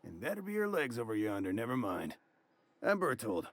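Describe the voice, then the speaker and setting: An actor playing a folksy, wry mercenary captain with a drawling, dryly humorous way of talking. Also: male voice, medium pitch, huge pitch swings, normal speed, dry recording.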